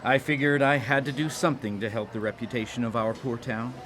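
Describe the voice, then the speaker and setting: A man's voice: mid-range voice, fairly even intonation, a little fast, fairly bright tone, slight background noise, lightly acted. A man playing a pious, modest small-town man on a date in a live radio drama, polite and hesitant. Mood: earnest.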